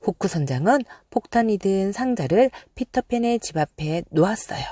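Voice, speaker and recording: Lively woman storyteller, dry close sound. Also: medium pitch, moderate pace, dry recording. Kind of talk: expressive children's storybook narration.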